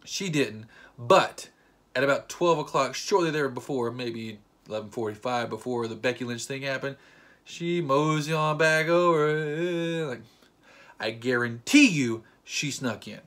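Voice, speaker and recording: A man's voice: mid-range voice, a little slow. A young man casually retelling a fan meet-up in a playful, joking vlog, acting out quotes as he goes. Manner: playful, jokey, animated retelling with acted quotes. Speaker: vlogger.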